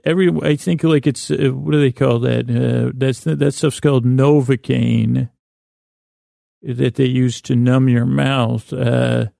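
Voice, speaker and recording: A man hosting a bedtime podcast with a rambling, absurd comic monologue, halting and often losing his thread, his words a bit slurred and mumbled. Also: medium pitch, steady tone, gravelly, mellow, no echo, clean studio sound.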